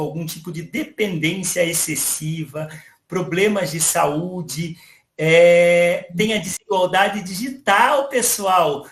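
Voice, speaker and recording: A man voicing a friendly virtual young male AI character, explaining calmly to an audience. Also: fairly high pitch, reverberant. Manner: calm explanatory, addresses audience as "pessoal". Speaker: interviewee.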